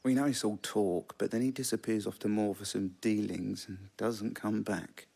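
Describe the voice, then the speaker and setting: An actor playing a blunt, pragmatic soldier, wry and colloquial, in a video game cutscene. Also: male voice, fairly low pitch, fairly even intonation, moderate pace, gravelly, warm tone, husky.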